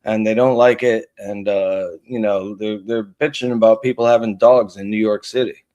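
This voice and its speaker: A man's voice: on the low side, steady tone, moderate pace, dark tone. A man chatting casually on an online panel, opinionated, crude and provocative, in slightly dull audio. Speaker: panel participant. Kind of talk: casual chat.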